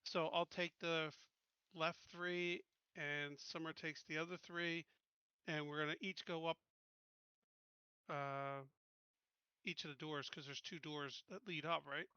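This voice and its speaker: A man playing a tabletop game, casually planning moves in a halting, practical way. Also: on the high side, fairly even intonation, bright, forceful tone, clean tone, dry recording, lo-fi sound.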